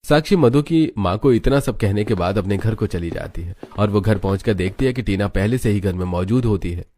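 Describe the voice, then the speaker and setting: Male story narrator, lowish pitch, dark relaxed timbre, scripted storytelling. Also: a little fast.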